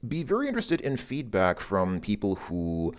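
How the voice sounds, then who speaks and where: A man's voice: fairly low pitch, huge pitch swings, moderate pace. A man who speaks English natively, talking through his thoughts in a loose, musing monologue and often repeating words while he thinks. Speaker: language learner vlogger. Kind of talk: casual spoken monologue.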